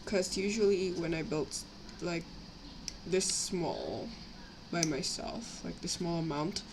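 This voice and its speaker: A young woman with a slightly raspy voice from a cough, rambling casually as she chats on a live stream while building models. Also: lowish voice, lively intonation, slow. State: sick with a cough, itchy throat. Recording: clear background noise, home livestream.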